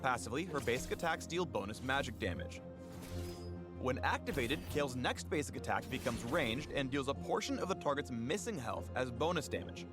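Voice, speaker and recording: Animated male game narrator over loud music. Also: mid-range voice, a little fast. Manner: dramatic, grandiose delivery with playful wordplay. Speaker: game champion showcase narrator. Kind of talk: scripted promotional game narration. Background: background music.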